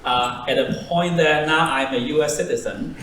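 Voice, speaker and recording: A man's voice: fairly high pitch, normal speed, a bit bright. Man in his fifties, echoey hall. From southern China and Hong Kong. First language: Cantonese. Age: 50s. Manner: hesitant, many ums, laughs easily. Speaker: seminar panelist. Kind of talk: conversational panel talk. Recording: echoey hall, distant mic, faint noise.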